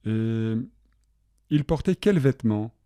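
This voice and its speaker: Guy reading questions in a lesson dialogue, mellow voice, measured, dry close mic. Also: on the low side, lively intonation, clear, resonant tone, no room sound. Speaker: language-lesson dialogue reader. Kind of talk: scripted dialogue, asking questions, each line read twice.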